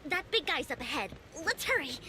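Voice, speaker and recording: A bashful, devoted young woman in an anime-style game speaks very high, with lively swoops. She is polite and pleading, easily flustered. Music is clearly audible behind her. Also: brisk, crowd noise. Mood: polite, hurried.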